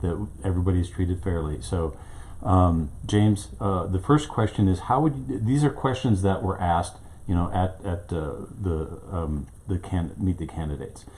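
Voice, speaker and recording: A man's voice: low-pitched, normal speed, hardly any pauses, darkish tone, slight background noise. A man who owns a small local TV station, asking interview questions in a casual, rambling way with many fillers, from off camera in his small studio. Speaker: local TV station owner and host.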